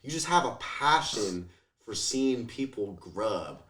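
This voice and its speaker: A man's voice: lowish voice, sing-song, slow pace, bright, forceful tone, slight background noise. A man talking casually as an interview guest, telling stories in a slangy, sweary way and acting out what people said. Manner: slangy, swears, acts out quotes, frequent "like" and "you feel me". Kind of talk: casual, animated storytelling chat.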